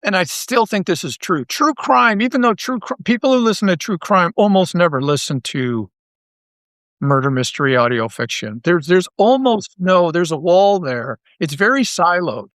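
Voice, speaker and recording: A middle-aged man talking casually as an interview guest, thinking aloud with halting, repeated phrases. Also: sits high, animated, fairly bright tone, no echo, studio quality. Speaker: guest, audio drama writer and creator.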